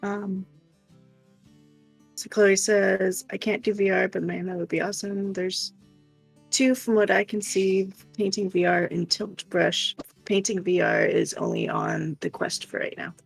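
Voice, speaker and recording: A woman's voice: mid-range voice, expressive, measured pace, gravelly, slightly rough, low-quality recording. A woman helping on a livestream, casually reading viewer comments aloud and replying. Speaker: stream helper reading out chat. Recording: soft music behind the voice.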